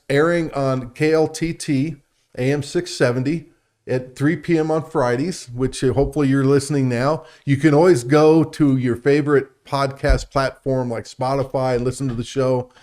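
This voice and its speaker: This is a man co-hosting a talk show on science and faith. He chats in an enthusiastic, conversational way. His voice sits at a medium pitch for a man. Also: darkish tone. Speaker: radio show co-host.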